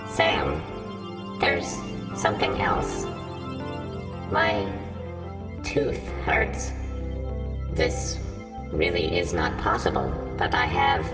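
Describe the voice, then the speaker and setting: A man voicing a confused, weary artificial intelligence in an audio drama, speaking slowly and formally through a processed computer effect. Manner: slow, halting, solemn, formal diction. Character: glitching male-voiced artificial intelligence, confused, weary and dreamlike. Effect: deep processed computer voice with heavy reverb. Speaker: voice actor. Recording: distant, cavernous reverb, loud music behind.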